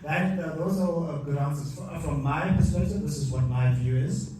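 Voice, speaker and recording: A man's voice: on the high side, a little fast, heavy background noise. Emphatic male speaker in echoey hall. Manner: call-and-response, emphatic repetition. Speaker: motivational speaker. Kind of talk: live masterclass. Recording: echoey hall, recorded from far off.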